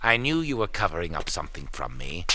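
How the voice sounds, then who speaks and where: Low, swooping, menacing villain. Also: male voice, very animated, noisy background. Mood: sneering menace. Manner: smugly formal, deliberate. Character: menacing male villain interrogator, cruel, coldly mocking. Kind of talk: audio drama acting.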